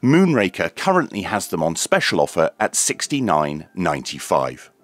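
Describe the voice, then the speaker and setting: Low-voiced man, very lively pitch. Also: normal speed, no room sound, soft background music. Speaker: radio reviewer and presenter. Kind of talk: scripted product review narration with dry jokes.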